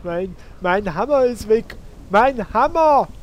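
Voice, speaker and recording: A man's voice: high-pitched, expressive, takes it slowly, dull audio. Man acting a whiny, vain fantasy hero. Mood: panicked. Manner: self-centred, indignant. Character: vain, whiny male fantasy hero obsessed with his hammer. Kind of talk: radio comedy acting. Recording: light background noise.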